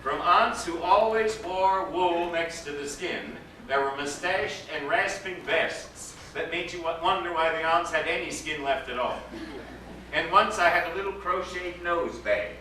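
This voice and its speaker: A man, an actor, giving an expressive, dramatic reading of a literary story aloud. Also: on the high side, measured pace. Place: indoor live reading to a gathering. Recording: echoey room, distant mic, background noise.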